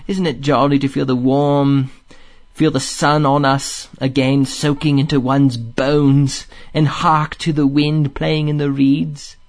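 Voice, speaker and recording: A man's voice: medium pitch, level tone, takes it slowly. A man voicing a languid, poetic water rat from a storybook, wistful and rapt as he murmurs. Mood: languid, contented.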